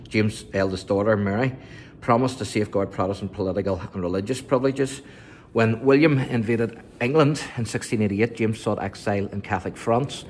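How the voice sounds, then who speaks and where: A man's voice: on the low side, darkish tone, a little hiss or hum. A middle-aged man, filming a museum walkthrough on his phone and reading the display panels aloud with small stumbles and casual asides. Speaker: vlogger touring a museum. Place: indoor museum exhibit room.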